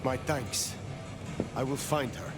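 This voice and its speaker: Actor playing elder vampire, composed, formal, clipped phrases, medium pitch, video game dialogue, loud music. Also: male voice, steady tone, close to an everyday voice. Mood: insistent, resolute. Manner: formal, measured, clipped sentences.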